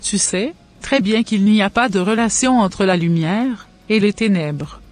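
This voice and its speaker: A woman's voice: mid-range voice, a little slow, faint music behind, lightly acted. A woman voicing a cautious, pious mother of a grown son, admonishing in a scripted cartoon dub.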